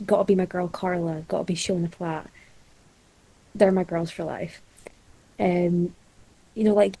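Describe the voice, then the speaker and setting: A woman's voice: lowish voice, gravelly, mellow, husky, dry recording, low-quality recording. A nineteen-year-old Scottish woman chatting casually as a podcast co-host. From Scotland. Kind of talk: casual chat.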